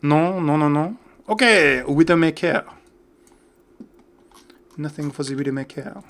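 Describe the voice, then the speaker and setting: A man's voice: a little high, a little slow, vocal fry, a bit bright. A British man hosting a gaming video with chatty, casual, off-the-cuff commentary. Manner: chatty, easily amused.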